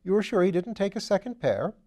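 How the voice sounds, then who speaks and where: Flippant, playful gentleman sleuth, raised and lively, read by a man, storybook. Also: high voice, voice pushed up. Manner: playful, theatrical, quick-witted. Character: witty flippant aristocratic male amateur detective.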